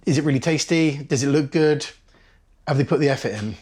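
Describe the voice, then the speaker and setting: A man's voice: a little high, brisk, over music. A British man talking to camera, blunt and opinionated. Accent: British English. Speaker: dinner party guest. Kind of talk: casual interview to camera.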